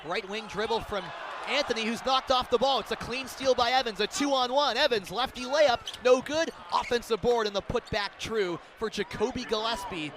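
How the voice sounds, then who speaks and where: High-pitched man calling live basketball. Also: normal speed. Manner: excitable, building to loud calls on big plays. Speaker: play-by-play radio announcer. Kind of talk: live basketball radio commentary. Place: courtside in a large indoor arena. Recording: crowd noise, bouncing ball in arena. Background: arena ambience, basketball bounces.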